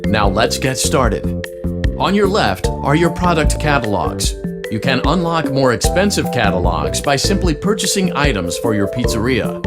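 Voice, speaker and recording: A lively, peppy corporate tutorial guide, music behind. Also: male voice, mid-range voice. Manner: peppy, encouraging, salesman-like. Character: upbeat, salesy male corporate training narrator in a video game. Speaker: tutorial guide for a pizzeria franchise. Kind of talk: scripted tutorial narration.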